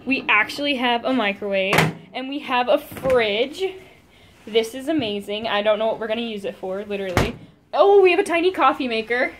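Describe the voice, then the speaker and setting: Young woman, high voice, bubbly and giggly, phone at arm's length, faint background hiss. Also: lively intonation, normal speed. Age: young adult. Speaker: vlogger. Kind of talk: casual vlog chat. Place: car, then small hotel room. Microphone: phone held at arm's length.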